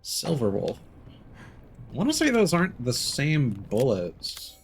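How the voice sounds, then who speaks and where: Slow-paced male streamer, lively pitch. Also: creaky, slight background noise. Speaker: game streamer. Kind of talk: live gameplay commentary. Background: video game sound.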